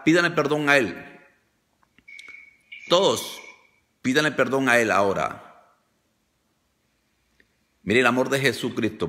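A man's voice: mid-range voice, pushed and bright. An older man leading a fervent, repetitive deliverance prayer on a phone video call, commanding and declaring. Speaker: deliverance preacher.

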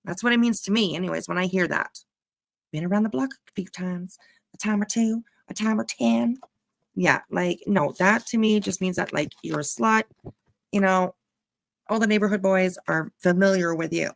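A woman's voice: medium pitch, normal speed, gravelly, no room sound. A woman with a mid-range voice delivers casual reaction commentary. She is sarcastic and mocking, with blunt swearing. The recording is dry, with little room sound. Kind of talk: casual mocking commentary over a played livestream clip.

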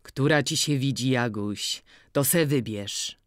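A reader voicing an older, stubborn farmer who speaks low and coaxing in an audiobook. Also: takes it slowly, no echo, studio quality, close to an everyday voice. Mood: tender. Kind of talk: audiobook character voice.

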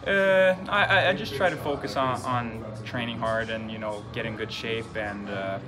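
A young man, a hockey player, answering postgame press questions in a relaxed, halting way, repeating words and restarting often. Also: medium pitch. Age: young adult. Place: indoor press room before a sponsor backdrop. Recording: loud music playing behind. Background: loud music.

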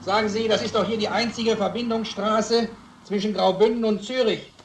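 An actor playing an inquisitive, patient, businesslike detective in a German crime drama. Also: male voice, high voice, fairly even intonation, moderate pace, roomy sound, a little hiss or hum. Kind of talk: German film-style radio drama dialogue.

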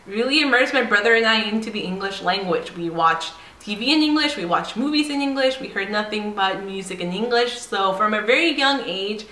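A woman of twenty-five from San Diego, talking casually to the camera at home in an animated, chatty way. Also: medium pitch, a little fast, hardly any pauses, echoey room, low-quality recording. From San Diego, United States. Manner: animated and chatty, laughing often. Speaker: vlogger. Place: indoor home room by a staircase.

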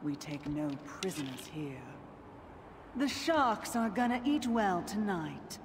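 A ghostly pirate queen for a video game. She is salty, menacing and dryly amused, taking her time over each taunt with theatrical, widely swinging pitch. A faint ambience sits behind the voice. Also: sing-song, slow, a little hiss or hum. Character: menacing, swaggering undead pirate queen captain, dry-humored. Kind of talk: video game character voice lines.

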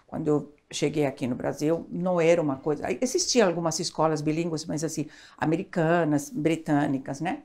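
A woman's voice: deep voice, fairly quick, few pauses. An older woman from Argentina, a child education expert chatting animatedly as an interview guest, recorded on a lapel mic in a small studio. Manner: animated, gestures a lot, tag questions. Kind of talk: casual interview chat. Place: small studio, seated on a sofa.

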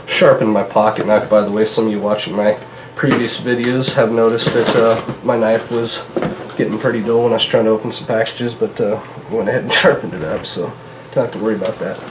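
A man in his thirties with a stuffed-up nose from a cold, hosting a casual gun-gear vlog from his kitchen table, chatty and relaxed with many fillers. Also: on the low side, a little fast, background noise. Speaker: gun channel host. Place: home kitchen, indoors.

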